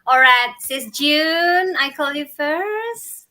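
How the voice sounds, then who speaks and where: A woman's voice: high-pitched, lively intonation, slow, sharp tone. A middle-aged woman from Indonesia hosting a live video stream, upbeat and welcoming, with small slips in her English, over a video call.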